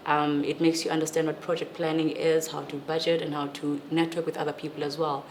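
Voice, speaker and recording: A woman, explaining clearly and informatively in a panel discussion. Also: sits low, little pitch movement, a little fast, slight background noise. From Eastern Cape, South Africa. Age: 20s.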